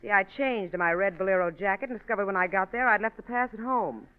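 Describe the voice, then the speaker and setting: An actress playing a proud, defensive newspaperwoman under suspicion, crisp and assertive, in a 1940s radio mystery. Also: medium pitch, quick pace, lightly acted. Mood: indignant. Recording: muffled, narrow-band old radio recording.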